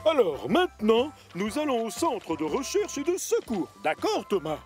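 A pompous, commanding railway chief from a children's cartoon. He speaks formally and emphatically in a very high voice that swings widely, with faint music underneath. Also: wildly swooping pitch, moderate pace, a little mellow, heavily acted. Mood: brisk. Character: pompous, commanding male railway controller, proud boss. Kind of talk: children's cartoon voice acting, French dub.

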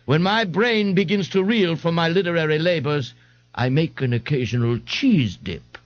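A man's voice: fairly high pitch, normal speed, dry recording, dull audio, pitched above the performer's own voice, close to an everyday voice. A man doing a pompous, haughty thirty-year-old. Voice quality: bellowing, grandiloquent, condescending.

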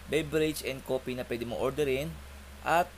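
A man's voice: mid-range voice, moderate pace. A young man giving a polite, chatty walkthrough of a coffee shop, filmed on a handheld phone. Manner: chatty, polite tour-guide narration. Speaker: vlogger. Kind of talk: casual walkthrough vlog. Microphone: handheld phone camera. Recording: noisy cafe with air conditioning.

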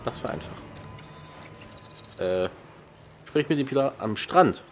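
A man's voice: mid-range voice, lively intonation, slow, very noisy. A man hosting a let's play, casually commenting, muttering to himself and reading out prompts. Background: game music and island sounds.

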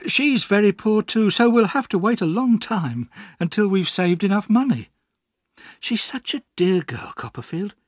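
A man's voice: high voice, lively intonation, moderate pace, no echo, studio quality, voice pushed up. A man doing a meek, earnest young man. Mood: earnest, content. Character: meek, kindly, unlucky young man, devoted sweetheart. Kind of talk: audiobook character acting.

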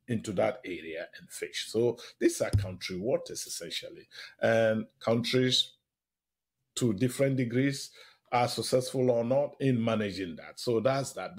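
A man's voice: mid-range voice, gravelly, slightly rough. A man speaking as an expert guest in a radio interview, explaining emphatically and enthusiastically, often checking in with short tag questions.

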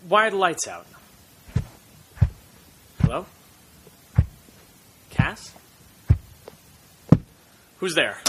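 A man's voice: a little high, full character voice. A man playing an earnest, easily rattled teenage boy in an audio drama, speaking in a casual, slangy way. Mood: panicked. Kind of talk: audio drama acting and first-person recounting. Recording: faint background noise.

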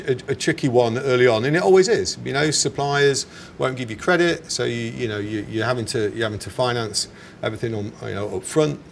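A man in his forties with an English accent, chatting casually and correcting himself as he answers as an interviewed business founder. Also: mid-range voice. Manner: hesitant, chatty, self-correcting. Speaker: snack company founder being interviewed. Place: indoors in a bar. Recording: clear background ambience noise.

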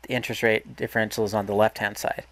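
A man's voice: on the low side, little pitch movement, fast talker, fairly bright tone, no echo. A man walking through a market analysis lesson over a screen recording, explaining step by step with restarts and self-corrections. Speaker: market educator.

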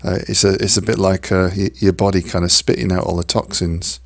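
Croaky, deep-voiced male podcast host, hesitant and full of fillers, close dry sound. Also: level tone, no echo. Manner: halting, many fillers and restarts. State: hoarse, croaky from a cold. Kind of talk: casual solo podcast talk.

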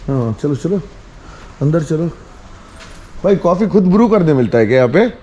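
A man's voice: on the high side, expressive, normal speed, warm tone, dull audio, a little hiss or hum. A man in his forties vlogging a road trip, chatting casually and laughing easily as he films on a handheld camera. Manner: chatty, mixes in English and Kannada, laughs easily. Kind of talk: casual travel vlog. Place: car at night, then a cafe.

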